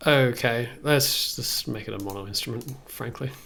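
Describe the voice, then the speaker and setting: A man in his thirties with a heavily gravelly, fry-laden voice at a middling pitch. He talks casually as a livestream host working at a computer, thinking aloud and asking himself questions. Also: normal speed. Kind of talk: casual chat while working on music software. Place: small indoor room at a computer.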